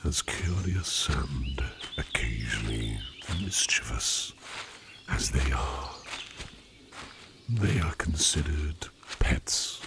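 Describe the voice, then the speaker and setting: Man playing a very deep, whispery, slow demon. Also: lively intonation, heavy background noise, exaggerated voice. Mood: pleased, curious. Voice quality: breathy, often dropping into whisper. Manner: formal, archaic, deliberate phrasing with many pauses. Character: courtly sleep demon disguised as a human man, curious, gentle. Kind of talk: ASMR roleplay, soft intimate half-whispered monologue. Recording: birdsong and forest ambience behind voice. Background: birdsong, outdoor nature sounds.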